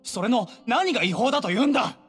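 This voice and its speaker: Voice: mid-range voice, lively intonation, fast talker, a bit bright, in a large hall, faint music behind, lightly acted. An actor playing a shifty young male culprit in an anime game, indignant and evasive. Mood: defensive. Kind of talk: Japanese anime game voice acting.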